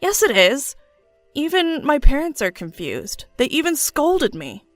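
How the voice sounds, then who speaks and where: A woman's voice: sits high, big pitch swings, a little slow, no room sound, soft background music, voice pushed up. A woman voicing a panicked cheating husband in an audio story, flustered and pleading as he makes excuses.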